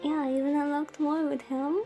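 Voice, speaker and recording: A woman's voice: high-pitched, little pitch movement, normal speed, warm tone. A woman chatting casually on a game livestream in a playfully dramatic, lamenting way, as the host. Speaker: VTuber streamer, host. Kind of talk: casual livestream chat while playing a visual novel. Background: background music.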